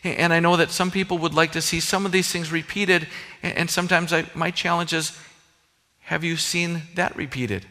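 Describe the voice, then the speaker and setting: A man with a Minnesota accent delivers a sermon in a bright, forward voice that sits a little high for a man. He preaches earnestly and conversationally, with a long reverb trailing his words. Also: steady tone, normal speed. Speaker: pastor.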